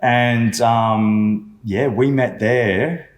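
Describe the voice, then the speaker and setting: A man with an Australian accent hosting a relaxed studio interview, enthusiastic and quick to affirm, often restarting his sentences. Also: mid-range voice. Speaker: podcast host. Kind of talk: casual interview chat. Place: studio lounge.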